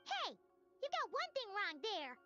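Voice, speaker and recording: A woman voicing a tiny, excitable, indignant fairy girl in a video game, with a squeaky childlike voice. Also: unhurried, highs cut off, faint music behind, exaggerated voice.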